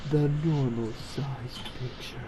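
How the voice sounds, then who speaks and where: A woman's voice: a bass voice, steady tone, slow. A woman chatting casually. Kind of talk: casual chat. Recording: clearly noisy room recording.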